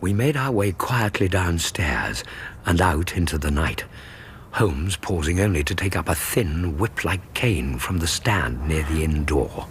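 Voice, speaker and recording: An actor playing an earnest Victorian British gentleman, a loyal companion narrating a radio drama in a measured, suspenseful, literary way with a husky voice. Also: sits low, animated, slight background noise. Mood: tense, suspenseful.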